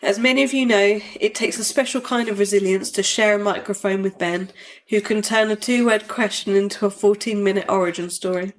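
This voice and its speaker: A woman's voice: mid-range voice, fairly even intonation, fairly quick. A woman co-hosting a podcast, sounding bunged up from a cold and joking in a dry, self-mocking way. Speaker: podcast co-host. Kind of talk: casual podcast chat.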